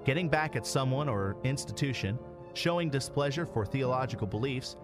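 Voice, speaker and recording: A man's voice: mid-range voice, fairly quick, natural voice. A man playing a stern, preachy investigator in a sci-fi audio drama, speaking in a formal, commanding way. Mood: pondering. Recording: music behind the dialogue.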